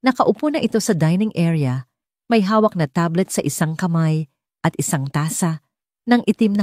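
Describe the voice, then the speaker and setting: A Filipino woman narrates a romance audiobook in a low-pitched voice. Her tone is soft-edged and mellow, reading from a script as a storyteller would. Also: dry recording, clean studio sound.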